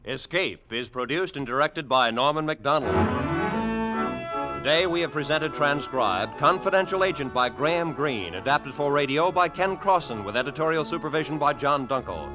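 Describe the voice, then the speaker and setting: A man's voice: on the high side, lively intonation, normal speed. A man with an American accent reading scripted credits and promos as a 1940s radio announcer. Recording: old radio broadcast, music behind.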